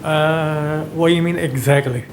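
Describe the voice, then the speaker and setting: A man whose first language is Tagalog, answering a judge as a defendant in a halting, unsure way, heard from away from the microphone. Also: fairly high pitch, steady tone, slight background noise.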